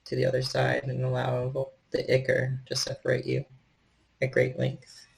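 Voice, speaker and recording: A man with a gravelly, husky voice, narrating a horror tabletop game as storyteller in measured, literary phrasing. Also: mid-range voice, completely flat delivery, poor audio.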